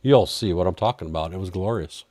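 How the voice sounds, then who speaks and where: A man's voice: sits low, fast talker, darkish tone. A man hosting a live trading stream, chatting casually and answering viewer questions while he reads charts, his voice getting worn out. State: losing his voice. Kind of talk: casual live market commentary.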